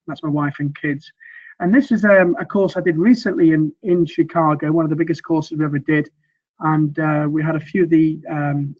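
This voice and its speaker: A middle-aged man coaching on communication and sales, speaking earnestly and loosely with many fillers and restarts, a little croaky from weeks of teaching. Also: fairly high pitch, lo-fi sound. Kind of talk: presentation with slides.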